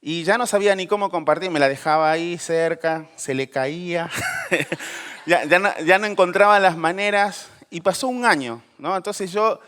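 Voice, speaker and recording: Clear-voiced Peruvian-born man, hesitant testimony. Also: sits high, moderate pace, fairly bright tone. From Peru. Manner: hesitant, many fillers and restarts, conversational. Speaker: speaker. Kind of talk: personal testimony talk.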